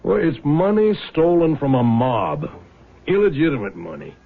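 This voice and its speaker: Wry older dad in old radio play, gravelly and husky, muffled broadcast sound. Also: male voice, on the high side, very animated, moderate pace. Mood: confiding, rationalizing. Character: wry older father, honest man rationalizing a windfall. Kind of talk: radio drama acting.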